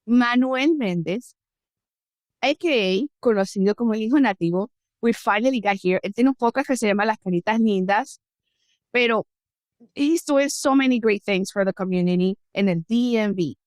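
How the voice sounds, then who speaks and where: A woman hosting a podcast, talking in a lively, chatty way as she switches back and forth between Spanish and English. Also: mid-range voice, normal speed, dry recording, studio quality. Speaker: podcast host. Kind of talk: casual interview, mixing Spanish and English.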